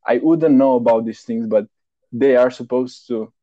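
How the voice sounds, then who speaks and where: Young guy as interview guest, frank and plain-spoken, mellow tone, dull dry sound. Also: medium pitch, moderate pace, no room sound. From Romania. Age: 20s. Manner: frank, plain-spoken, repeats words while thinking. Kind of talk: casual interview chat.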